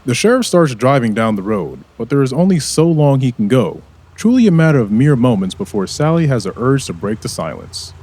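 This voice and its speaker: A man acting as a wry, theatrical narrator, reading scripted radio drama narration in a deliberate storytelling manner. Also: mid-range voice, very animated, darkish tone. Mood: dramatic. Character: dramatic, wry male narrator of a mystery serial.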